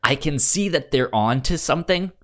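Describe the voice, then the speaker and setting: An American man co-hosting a podcast in casual film chat, speaking haltingly and repeating words as he thinks aloud. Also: a little high, expressive, a bit bright. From United States. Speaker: podcast co-host.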